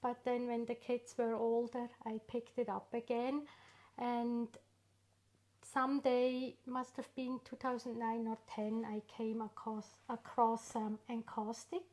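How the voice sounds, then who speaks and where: Slow-talking Swiss woman telling her story. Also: on the high side. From Switzerland. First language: not English. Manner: unhurried, warm storytelling with frequent pauses and fillers. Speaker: artist guest. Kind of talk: casual interview.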